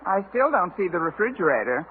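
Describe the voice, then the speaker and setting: An actress playing a wry, quick-witted schoolteacher with dry wisecracks in a 1950s radio sitcom. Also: female voice, fairly low pitch, sing-song. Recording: noisy 1950 radio broadcast, narrow band, audience.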